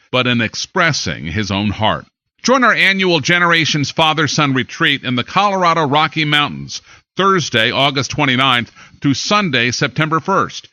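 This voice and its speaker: Male newscaster, American, bright forceful tone, animated pitch, scripted and dramatic. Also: dry recording, muffled recording. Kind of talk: scripted news reading with dramatic emphasis. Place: studio.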